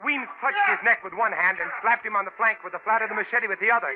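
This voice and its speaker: Male actor as stranded adventurer narrator, high male voice, dramatic and deliberate, tense, old radio horror drama, narrow-band broadcast sound. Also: heavy background noise, natural voice. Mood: breathless dread. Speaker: actor narrating and playing lead. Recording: tinny vintage radio broadcast with music behind.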